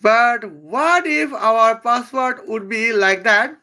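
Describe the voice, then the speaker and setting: A speaker from Bangladesh who speaks English as an after Bengali, giving a rambling, halting comedic roast full of hesitations. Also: medium pitch, expressive, pushed and bright. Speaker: video creator.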